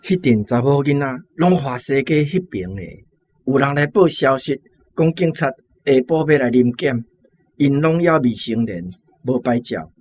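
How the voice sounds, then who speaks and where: An actor with a Taiwanese accent playing a gossipy, streetwise shop boss who explains things confidingly in a radio drama. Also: male voice, medium pitch, moderate pace, darkish tone, breathy edge, close to an everyday voice. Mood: confiding, pitying.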